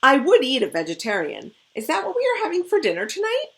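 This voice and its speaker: A woman voicing a gruesome, greedy female babysitter who speaks bluntly and loudly in a storybook reading. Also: high-pitched, huge pitch swings, fast talker, pitched above the performer's own voice, exaggerated voice.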